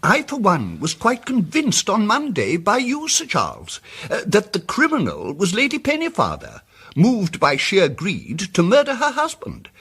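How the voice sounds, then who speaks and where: A diffident English gentleman detective from a radio mystery. His voice is husky and a little high, swinging widely in pitch. He is polite and apologetic, feeling his way into sentences yet gently persisting. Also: wildly swooping pitch, a little slow, exaggerated voice. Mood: earnest, diffident. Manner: hesitant, polite, circling, stammering starts. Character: timid, diffident, apologetic amateur sleuth gentleman, quietly persistent. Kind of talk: radio mystery drama acting.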